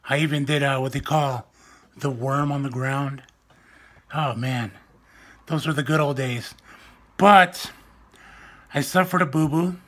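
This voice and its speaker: A young man vlogging casually to the camera at home, with a soft, weak, raspy voice and a slow, self-mocking, joking manner, recorded on a phone or webcam close to his face. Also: mid-range voice, fairly even intonation. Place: indoors at home, small room.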